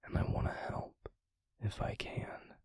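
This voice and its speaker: A man playing a tender, protective werewolf boyfriend in an intimate ASMR roleplay, speaking in a breathy, gravelly, soothing whisper. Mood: tender, reassuring. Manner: soothing, slow, gently coaxing. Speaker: audio roleplay voice actor.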